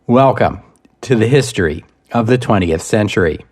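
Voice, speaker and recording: A man hosting a history podcast, reading scripted narration in a measured, deliberate way with wry asides. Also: on the low side, expressive, a little mellow, slightly rough. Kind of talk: scripted narration, storytelling lecture.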